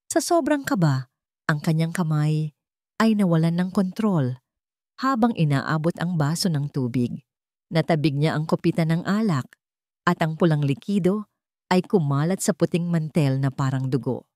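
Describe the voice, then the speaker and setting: A woman audiobook narrator reading a dramatic story with suspenseful, deliberate phrasing. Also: sits low, lively intonation, dark tone, dry recording, clean studio sound.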